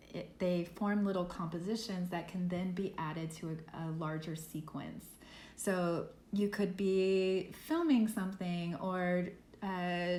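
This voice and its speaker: A woman instructor leading an art workshop, walking students through software step by step in a relaxed, thinking-aloud way. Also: fairly low pitch. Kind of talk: software tutorial lesson. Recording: echoey room with faint noise.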